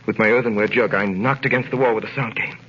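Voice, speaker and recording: An actor playing an earnest, desperate French prisoner who tells his ordeal with grave, dramatic pauses in a 1930s radio drama style. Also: fairly low pitch, fairly even intonation, a bit bright, slight background noise, natural voice. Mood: grave, suspenseful. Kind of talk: 1930s radio drama acting, first-person narration. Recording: muffled vintage 1930s radio recording with music.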